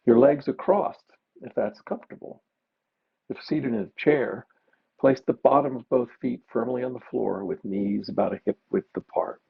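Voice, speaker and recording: A man teaching meditation, giving gentle, unhurried guided mindfulness instructions with pauses. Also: mid-range voice, vocal fry, husky. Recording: dull, muffled lo-fi recording.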